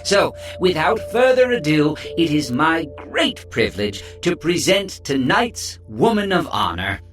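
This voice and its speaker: A grandiose villain posing as a presenter in an audio drama. His fairly high voice swoops widely with pompous showmanship, over light music. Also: big pitch swings, unhurried, fairly bright tone. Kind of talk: theatrical public speech in audio drama.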